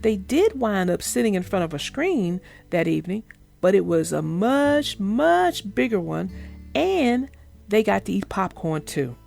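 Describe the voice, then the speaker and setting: A woman's voice: mid-range voice, very animated, unhurried, creaky, a little mellow, faint music behind. A woman narrating a children's storybook and talking to young listeners in an emphatic, instructive way. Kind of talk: read-aloud storytelling and explaining to children.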